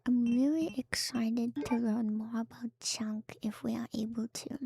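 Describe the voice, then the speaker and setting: A woman streamer giving soft, breathy, often whispered ASMR commentary on a horror game, musing and pausing often to think, close to the mic. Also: fairly high pitch, little pitch movement. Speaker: streamer, virtual YouTuber host.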